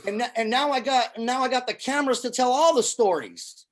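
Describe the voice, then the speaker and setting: A man's voice: very high voice, brisk. A middle-aged man from Syria, a film producer and army veteran, talking earnestly in a casual conversation and often repeating words as he starts a thought.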